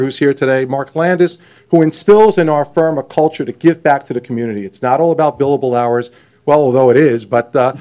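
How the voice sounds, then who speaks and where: A man's voice: on the high side, darkish tone. A man giving a chatty, anecdotal speech at a podium, dropping in self-deprecating asides. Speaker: event emcee.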